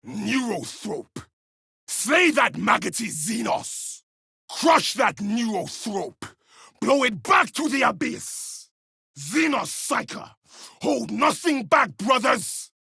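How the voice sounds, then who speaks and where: Savage gravelly space marine, high strained pitch, game combat barks, super harsh edge. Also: male voice, big pitch swings, takes it slowly, no echo, clean studio sound, full character voice. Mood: fierce battle fury. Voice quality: gravelly, rasping. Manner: forceful barked battle cries. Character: gruff, battle-hardened male space marine warrior, savage and zealous. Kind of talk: video game combat voice lines.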